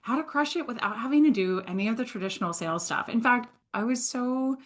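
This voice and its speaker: A woman's voice: on the high side, quick pace. A woman in her thirties from Switzerland, a guest on a podcast, telling her career story casually with self-deprecating humor. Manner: animated, self-deprecating storytelling with frequent laughs. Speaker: guest, sales entrepreneur.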